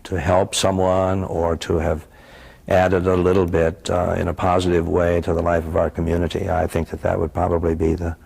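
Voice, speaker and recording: An elderly man, a retired priest, reminiscing in an interview in halting, folksy phrases. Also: sits low, completely flat delivery, gravelly, darkish tone, breathy edge. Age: 60s or older. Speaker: retired priest and sports announcer.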